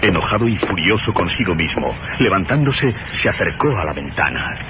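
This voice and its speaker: A man's voice: sits low, very animated, normal speed. A man narrating a horror radio drama in a dramatic, scripted storytelling style. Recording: noisy radio drama with loud ambience.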